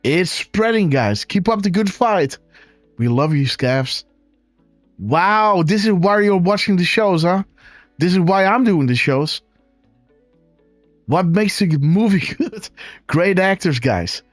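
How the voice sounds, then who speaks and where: Dutch-accented male stream host, unhurried but excitable, voice leaping up and down, dry close sound. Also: sits high, no room sound, faint music behind. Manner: excitable, exclaiming, talks to viewers as guys. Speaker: live show host. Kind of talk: casual live-stream commentary on images.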